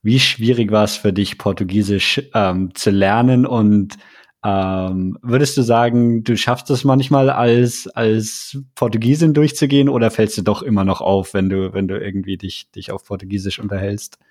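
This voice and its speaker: A male podcast host with a somewhat low voice asks long, winding questions. He repeats words and fills gaps with ähm as he gathers his thoughts. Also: steady tone, normal speed, a little mellow. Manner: hesitant, repeats words, many fillers. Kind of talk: casual interview chat.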